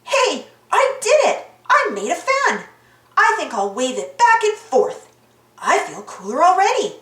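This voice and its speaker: A woman reading a children's storybook aloud in an animated, exaggerated, sing-song way for young children. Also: very high-pitched, measured pace. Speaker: storybook reader. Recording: roomy echo.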